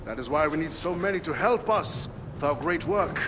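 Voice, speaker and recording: An actor playing a proud middle-aged cult leader in a video game, speaking in a grandiose, declamatory way. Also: male voice, on the high side, lively intonation, normal speed, long reverb tail, background noise, close to an everyday voice. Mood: proud, measured. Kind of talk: dramatic video game acting.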